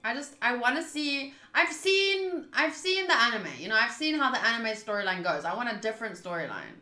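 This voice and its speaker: A woman's voice: fairly high pitch, expressive, fairly quick, bright, forceful tone. A chatty woman doing casual playthrough commentary, thinking aloud and reading game text. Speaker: host of a visual novel playthrough. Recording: roomy echo, game music behind. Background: game music.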